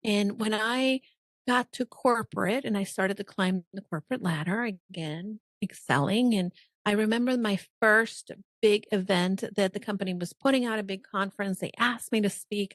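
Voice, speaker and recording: A woman's voice: medium pitch, moderate pace, no room sound. Conversational woman with a dry, close sound. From El Salvador until age ten, then Miami. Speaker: podcast guest, business coach. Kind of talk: conversational personal storytelling.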